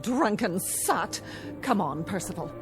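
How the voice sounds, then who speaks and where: A fussy, protective mother in a radio play. Her voice swoops expressively and carries a haughty, sharp-tongued edge, with music underneath. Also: mid-range voice, lively intonation, slow. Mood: outraged. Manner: indignant, sharp-tongued, fussing. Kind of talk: radio drama acting.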